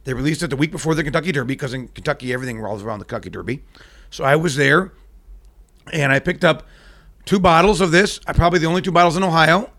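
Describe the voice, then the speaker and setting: A man's voice: on the high side, fast, a bit bright. A man chatting casually as a podcast guest, explaining things in a matter-of-fact way. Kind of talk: casual chat, explaining bourbon.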